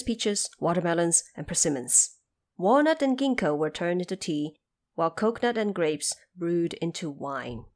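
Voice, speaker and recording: Expressive woman storyteller, lively pitch. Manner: expressive, theatrical. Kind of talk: dramatic scripted storybook narration.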